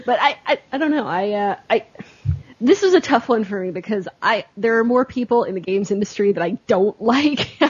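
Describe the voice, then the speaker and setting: A woman chatting casually about games in a halting, self-deprecating way. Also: medium pitch, animated, husky, dull audio. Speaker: podcast guest.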